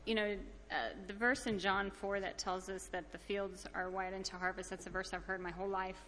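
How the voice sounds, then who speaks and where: A woman's voice: mid-range voice, level tone, a little fast, fairly bright tone. A woman giving an informal church testimony in an earnest, plain-spoken way. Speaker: church mission trip member giving a testimony. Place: large indoor church hall. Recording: echoey hall with background noise.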